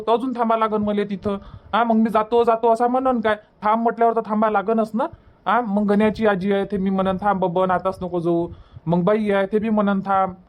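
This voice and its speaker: A man's voice: sits high, darkish tone, lightly acted. A man playing a placating, argumentative village husband in a cartoon comedy, in colloquial Varhadi Marathi, repeating himself to make his point. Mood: exasperated, defensive. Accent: Varhadi Marathi from Vidarbha.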